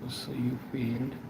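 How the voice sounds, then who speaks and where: A man's voice: medium pitch, vocal fry, heavy background noise. A man from Pakistan, chatting casually with viewers while he draws on a livestream, answering their comments in a laid-back way. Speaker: art livestreamer.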